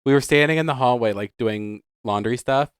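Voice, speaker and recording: A man co-hosting a casual podcast chat, teasing and quick with banter. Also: mid-range voice, smooth, no room sound, clean studio sound. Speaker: podcast co-host.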